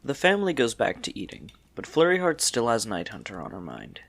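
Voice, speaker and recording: A man's voice: lowish voice, huge pitch swings, a little fast, creaky, a bit bright. A man narrating a scripted story in the third person, in a storybook style.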